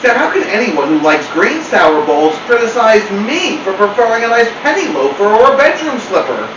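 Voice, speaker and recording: High-pitched man reading stories, animated, roomy. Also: normal speed. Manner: animated, theatrical. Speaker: storyteller reading a children's book. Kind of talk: expressive read-aloud storytelling. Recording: roomy, distant mic, clear hum.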